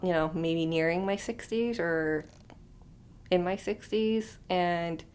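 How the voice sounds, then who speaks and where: A woman in her thirties talking casually to her camera, her voice sitting a little low. She rambles and thinks out loud, leaning on fillers, and now and then drops into a soft near whisper. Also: takes it slowly, clean tone. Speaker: vlogger. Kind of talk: unscripted casual chat to camera.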